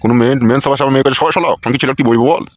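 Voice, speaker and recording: A man voicing a cranky, foul-mouthed old village father-in-law, gruff and scolding, in an amateur comic cartoon. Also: mid-range voice, very fast, noisy background, natural voice. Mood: angry, scolding.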